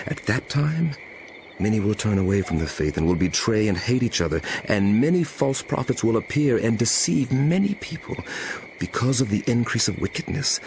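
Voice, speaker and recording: A grave scriptural teacher, acted by a man, delivers solemn warnings in a low voice with dramatic swells and falls. The phrasing is deliberate and emphatic, and prominent music runs underneath.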